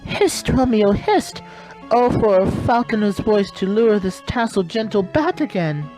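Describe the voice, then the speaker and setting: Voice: lively intonation, a little slow, warm tone, faint music behind, natural voice, poor audio. A performer voicing a lovestruck, melodramatic young woman in a comic Shakespeare dub, gushing theatrically. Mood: urgent, pleading. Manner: theatrical, gushing, swooping into shrill calls.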